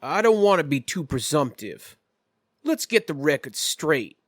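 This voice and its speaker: A man playing a smooth, shady businessman patron in a tabletop game, speaking in a polished, formal and evasive way. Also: fairly high pitch, very animated, a little slow, dry recording, studio quality. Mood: suave, reassuring.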